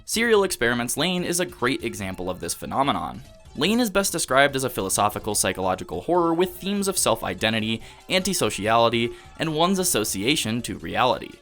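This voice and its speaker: A man delivering scripted, analytical video essay narration in a measured, emphatic, rhetorical way. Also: medium pitch, big pitch swings, fairly bright tone. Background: background music.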